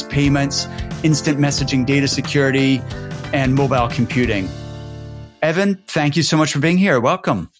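A man from Canada with a mixed Canadian and British accent, hosting a podcast interview and reading a friendly scripted introduction. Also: medium pitch. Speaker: podcast host.

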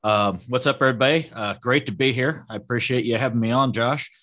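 A man's voice: mid-range voice, brisk, a bit bright. A man speaking as a guest on a casual podcast, friendly and hesitant, with many ums and uhs. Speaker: podcast guest, car audio shop owner.

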